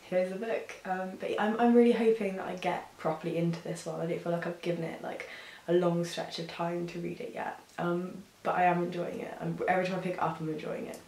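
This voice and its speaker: A young woman, hoarse from a sore throat, chatting casually about books with frequent ums. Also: on the low side, steady tone, a little fast, few pauses, a little mellow. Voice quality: husky. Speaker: book reviewer. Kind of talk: casual chat to camera. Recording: roomy echo with faint hiss.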